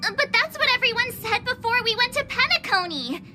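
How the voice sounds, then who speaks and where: A woman voicing an excitable, fun-loving young adventurer who teases and protests in a game cutscene over music. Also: very high voice, fairly quick, exaggerated voice. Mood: protesting. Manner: excitable, whiny, teasing.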